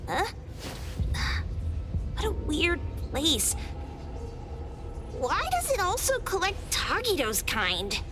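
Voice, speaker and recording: A woman playing an excitable, impulsive and curious young girl in an anime-style video game, with dramatic, exclamatory delivery. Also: takes it slowly, pauses often, over music, full character voice. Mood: puzzled, suspicious. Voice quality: high, girlish voice.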